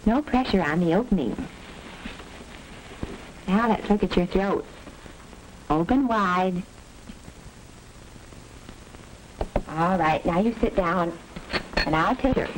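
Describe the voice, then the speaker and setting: Animated 1940s school nurse. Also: female voice, on the low side, unhurried, pauses often. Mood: gently directive. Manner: gently directive, polite, carefully spoken. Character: brisk, caring school nurse, adult woman. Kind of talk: 1940s educational film acting. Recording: crackly vintage film soundtrack.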